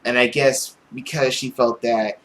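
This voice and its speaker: A man's voice: mid-range voice, steady tone, unhurried, a little hiss or hum. A man reading a visual novel aloud dramatically as a let's player, with commentary of his own.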